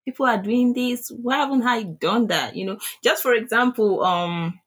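A woman's voice: on the high side, normal speed, fairly bright tone, slightly rough. A woman with a Nigerian accent, answering interview questions hesitantly with many fillers. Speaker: guest, online seller.

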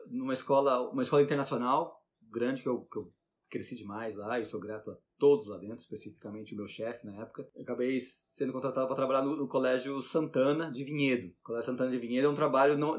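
A Brazilian man with a Brazilian Portuguese accent, a teacher chatting as an interview guest, rambling with many restarts and tag questions. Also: mid-range voice. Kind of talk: casual conversational interview.

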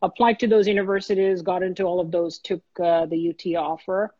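A man, a guest in an interview, telling his career story in an earnest, steady way with many small restarts. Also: high-pitched, fairly quick, no room sound, highs cut off. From Bangladesh.

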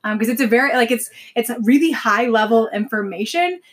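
A woman's voice: a little high, lively intonation, fairly quick. A woman hosting a podcast, chatting casually and often saying "like" and "super". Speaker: podcast host. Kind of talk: casual interview chat.

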